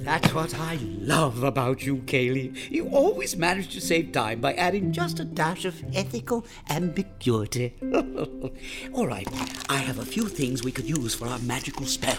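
A woman playing a fussy, wordy, excitable eccentric scientist in a comedic audio drama. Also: a bass voice, a little slow, loud music behind the voice, full character voice. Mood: haughty, wry.

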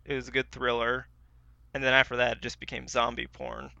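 A man's voice: mid-range voice, monotone, brisk, creaky, pushed and bright, no echo. A man co-hosting a podcast in casual, slangy, informal chat, on a slightly dull recording. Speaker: podcast co-host. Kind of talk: casual chat about pop culture.

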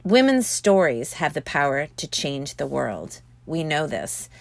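A woman narrating her own motivational audiobook as its author, speaking earnestly with emphatic, deliberate phrasing. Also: low voice, sing-song, creaky, no room sound. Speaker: author reading own book.

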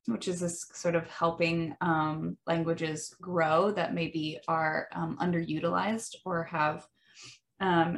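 A woman with a Midwestern American accent hosting an educational monologue, thoughtful and often pausing with ums and restarts. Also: fairly low pitch. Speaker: podcast host.

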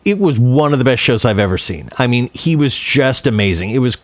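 A man's voice: mid-range voice, lively intonation, no echo. A man co-hosting a podcast in casual, opinionated chat, swearing freely and often restarting his phrases. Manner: profane, opinionated, halting with repeated words. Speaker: podcast co-host. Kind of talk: casual chat about films and music.

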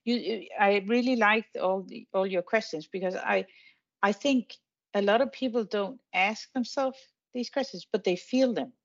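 A woman's voice: mid-range voice, normal speed, highs cut off. A woman speaking casually as a panel guest, agreeing and repeating words while she thinks.

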